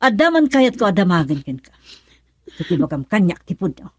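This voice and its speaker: A strict, middle-aged mother in an Ilocano radio drama. Her voice swings widely in pitch with a hot-tempered, volatile delivery. The recording is close and dry. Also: very animated, a little fast, a little mellow, husky, no echo. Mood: furious scolding.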